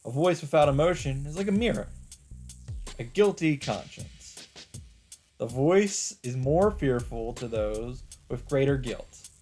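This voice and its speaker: A man's voice: medium pitch, animated, measured pace, darkish tone. A man hosting a let's play, reading visual novel narration dramatically and adding his own casual asides. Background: background music.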